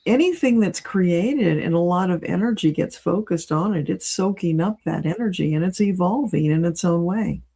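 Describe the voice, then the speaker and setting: A woman's voice: lowish voice, lively intonation, moderate pace, gravelly, hardly any pauses, warm tone, slightly rough. A woman speaking as a guest in a casual conversational interview. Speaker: guest, spiritual channeler and reader.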